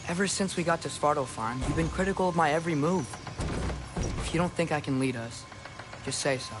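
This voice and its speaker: A man's voice: on the high side, a little fast, music in the background, natural voice. An actor playing an earnest, restless teenage boy in a video game, with a light youthful voice and casual modern phrasing. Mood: earnest, frustrated. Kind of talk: video game dramatic acting.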